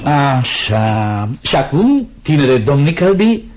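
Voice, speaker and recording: An actor playing a generous, righteous gentleman employer with a courteous, assured manner in a Romanian radio drama. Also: medium pitch, very animated, natural voice. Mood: genial, concerned. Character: genial, righteous, generous gentleman business owner. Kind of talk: Romanian radio theatre acting. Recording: dull lo-fi radio drama recording.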